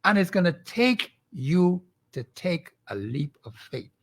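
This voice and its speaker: Bahamian male guest, earnest and exhorting, slightly high voice; show commentary. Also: sing-song, unhurried. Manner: earnest, exhorting, rhetorical questions. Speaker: guest professor.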